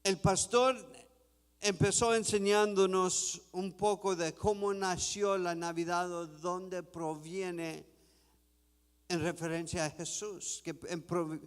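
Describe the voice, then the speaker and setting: A man preaching a sermon in a church, reading scripture slowly and deliberately. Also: high voice, little pitch movement, clean tone, in a large hall. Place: church sanctuary.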